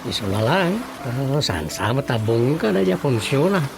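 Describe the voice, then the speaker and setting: Chatty gravelly village councilman husband. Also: medium pitch, sing-song, normal speed, over music. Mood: explaining, animated. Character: talkative married village councilman, musing and explaining to his wife. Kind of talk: Ilocano radio drama comedy acting.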